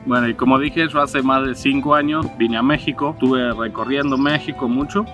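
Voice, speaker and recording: An Argentine man in his thirties talks to camera in a relaxed, plain-spoken way, with a mid-range voice. Music runs clearly underneath him. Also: medium pitch, normal speed. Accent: Argentine Spanish. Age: 30s. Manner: easygoing, plain-spoken, mixes in local slang. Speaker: travel vlogger. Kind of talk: casual sit-down chat to camera. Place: seated indoors facing the camera.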